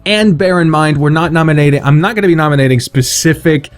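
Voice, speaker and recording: A man's voice: on the high side, fast talker. A man hosting a video in a casual, unscripted monologue, rambling, swearing freely and putting himself down. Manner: sweary, self-deprecating, rambling. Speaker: video host announcing fan awards.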